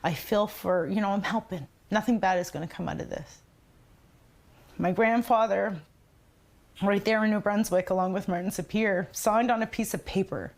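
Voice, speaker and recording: A woman giving an unscripted personal account in an interview, halting and often saying "you know". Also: mid-range voice, fairly even intonation. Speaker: documentary interviewee.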